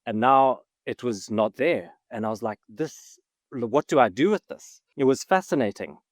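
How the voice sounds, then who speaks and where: A man's voice: mid-range voice, moderate pace, no echo, clean studio sound. A man from Zimbabwe, a guest on a podcast, chatting casually and enthusiastically, often laughing. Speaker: guest, film writer-director. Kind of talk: casual interview chat.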